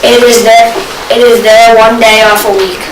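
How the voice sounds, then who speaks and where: A woman narrates a stage reading from far off in an echoey room with loud noise. Her higher voice reads the script haltingly. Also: fairly even intonation. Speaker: student narrator. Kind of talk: scripted narration in amateur readers' theater.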